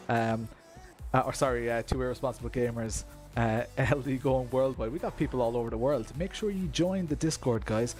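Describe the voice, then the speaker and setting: An Irish man with a mid-pitched voice chats casually with viewers on a sim racing stream. He is self-deprecating and laughs easily, and faint music plays underneath him. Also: a little mellow. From Ireland. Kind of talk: casual live-stream chat.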